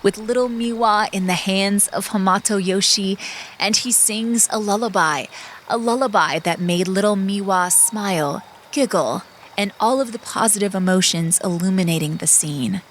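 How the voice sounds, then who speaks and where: A woman narrating a dramatic audiobook story in a measured, solemn way. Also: mid-range voice, a little hiss or hum. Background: music.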